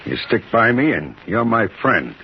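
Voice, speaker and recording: An actor playing a tough, blustering big-shot New York mob boss, talking slangy and bossy in a 1950s radio drama. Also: male voice, fairly low pitch, sing-song, moderate pace, slightly rough. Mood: gruff, commanding. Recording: muffled, noisy 1950s radio recording.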